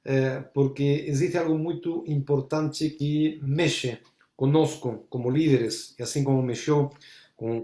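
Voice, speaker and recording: A man, a rabbi talking in a halting way, stopping often to search for words. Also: medium pitch, dark tone, echoey room. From Argentina. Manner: halting, searching for words, many fillers and restarts. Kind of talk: conversational discussion, switching between English and Portuguese.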